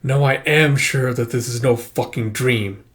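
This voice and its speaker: A man's voice: medium pitch, a little slow, close to an everyday voice. A man acts out a hot-headed hero from a fantasy comic. He is foul-mouthed and blunt. His delivery is exasperated, in plain everyday speech. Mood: tense, sardonic.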